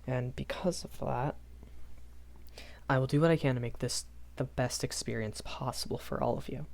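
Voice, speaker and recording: A man's voice: mid-range voice, vocal fry, a little mellow, natural voice. A young man playing a shy, kindly magic academy professor in a rambling audio roleplay, stammering and hesitant. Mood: earnest, reassuring. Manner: hesitant, stammering, nervous chuckles, apologetic.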